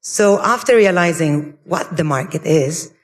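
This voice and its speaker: Greek-accented woman economist on a conference panel, easygoing and self-mocking, fairly low voice, long hall echo. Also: a little slow. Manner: conversational, self-deprecating.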